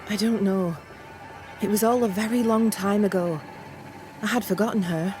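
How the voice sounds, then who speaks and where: A woman's voice: mid-range voice, steady tone, moderate pace, mellow, soft background music, natural voice. An actress playing a guarded, reluctant colonial woman in a video game, speaking in a terse, wary manner. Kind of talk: scripted video game cutscene acting.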